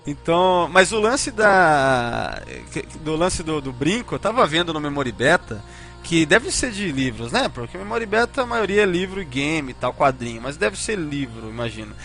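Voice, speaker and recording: A high-pitched male voice with a Brazilian Portuguese accent hosts a casual fan podcast. He talks informally, hesitating and often asking for agreement. Faint music plays behind him. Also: very animated, normal speed, creaky, a bit bright. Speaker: podcast host.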